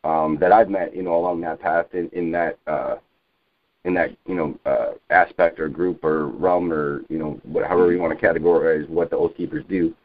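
Male activist, very low voice, rambling and insistent political talk, narrowband dry phone audio. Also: steady tone, dry recording. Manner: halting, repetitive, insistent, peppered with you know. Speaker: civilian activist on a group conference call. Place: telephone conference call. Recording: muffled narrowband phone conference line.

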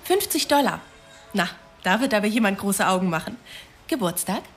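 An actress plays a chatty shop assistant in a radio drama. Her voice is medium in pitch, with faint music behind. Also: lively intonation, normal speed, natural voice. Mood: friendly.

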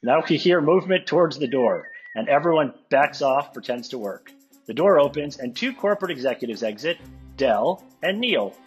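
Lively man reading stage directions. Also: a little high, normal speed, soft background music, rough recording. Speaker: reader of stage directions at a table read. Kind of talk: live script reading of screen directions.

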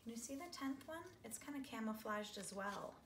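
Woman, middle-aged, children's read-aloud, expressive storyteller phrasing, echoey living room, faint background noise. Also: a little high. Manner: expressive, drawn-out phrasing, asking young listeners questions. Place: small living room, seated on a couch. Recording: roomy home recording with background noise.

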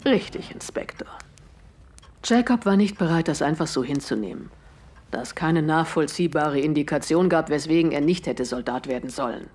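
An actress playing an earnest, composed widow in a German audio drama, telling her story deliberately with dramatic pauses. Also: low voice, animated, darkish tone, breathy edge. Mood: sorrowful, earnest. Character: grieving widow of a murdered man, earnest and composed.

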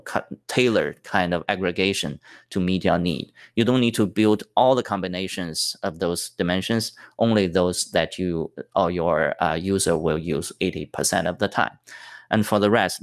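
A man's voice: deep voice, vocal fry, no room sound, studio quality. A man giving a technical conference talk over slides, explaining step by step with many fillers and restarts. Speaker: tech presenter.

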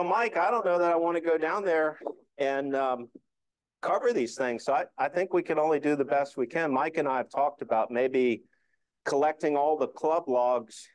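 A man's voice: fairly high pitch, no room sound, low-quality recording. A middle-aged man giving an informal club presentation, explaining things in a conversational way. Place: indoor meeting room.

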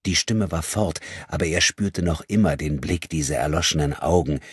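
A man narrating an audiobook dramatically, with grave, measured and suspenseful delivery. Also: very deep voice, breathy edge, no room sound, clean studio sound.

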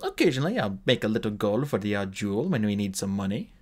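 A man reading aloud and voicing an ancient, gentle male alchemist who speaks softly and keeps his secrets. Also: on the low side, very animated, fast. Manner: gentle, soft-spoken.

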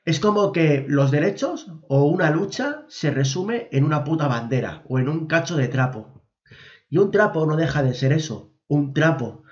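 A hoarse man with a Spanish accent from Spain rambles through blunt, informal reaction commentary. The recording sounds dull and lo-fi. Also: medium pitch, darkish tone. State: hoarse from a cold.

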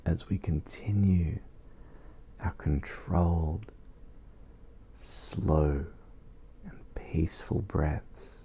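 A man leading an ASMR guided meditation in a soft, soothing, breathy voice with long pauses. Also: sits low, steady tone.

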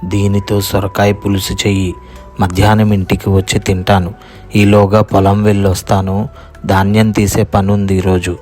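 A man voicing a grasping old village landowner who speaks deliberately and admonishingly in a moral story cartoon. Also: lowish voice, level tone, a little mellow, soft background music, lightly acted. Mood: matter-of-fact.

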